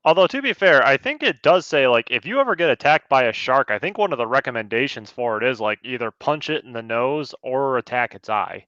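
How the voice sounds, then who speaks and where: A man co-hosting a casual film review, measured and fair-minded, on slightly dull audio. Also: medium pitch, animated, sharp tone, no room sound. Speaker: podcast co-host reviewing a film. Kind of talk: casual movie review chat.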